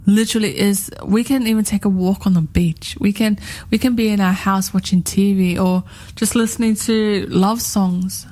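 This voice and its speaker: A woman's voice: medium pitch, fairly even intonation, normal speed, warm tone. A woman in her thirties co-hosting a radio chat show, talking in a rambling, heartfelt way and repeating herself often. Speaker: radio co-host.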